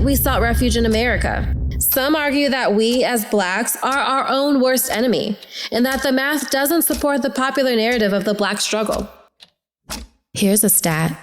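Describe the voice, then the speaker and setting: A woman's voice: a little high, lightly acted. An actress playing a troubled young woman in a reflective, questioning dramatic inner monologue. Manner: reflective, rhetorical, searching. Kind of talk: dramatic introspective monologue voice-over. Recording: voice-over over prominent music. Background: music.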